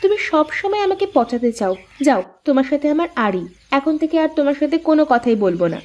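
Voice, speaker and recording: A woman's voice: sits high, very animated, quick pace, darkish tone, highs cut off. A woman voicing a pouty, dutiful young village wife in a cartoon. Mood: teasing, pouty. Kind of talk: cartoon voice acting.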